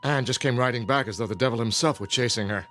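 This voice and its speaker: A man playing a protective, authoritative middle-aged father in a radio drama, brisk and commanding. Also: medium pitch, fairly bright tone, faint music behind, close to an everyday voice. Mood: impatient.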